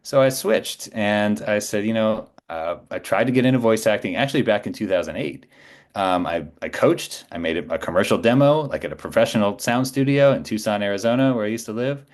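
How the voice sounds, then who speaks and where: Low-voiced middle-aged man, chatting casually. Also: expressive, brisk. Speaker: podcast guest, voice actor. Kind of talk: casual conversational storytelling.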